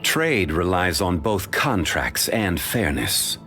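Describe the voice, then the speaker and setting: Game role: an ageless, dignified scholar-gentleman, very deep and unhurried, formal and polite, faint music behind. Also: very animated, heavily acted. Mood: calm, formal. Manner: measured, formal and courteous. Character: ancient, dignified man, calm and learned consultant. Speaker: voice actor. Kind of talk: video game voice lines.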